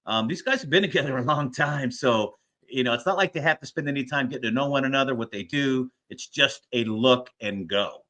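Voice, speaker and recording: A man's voice: medium pitch, a little fast, sharp tone. An American man hosting a sports show, talking conversationally as an interviewer.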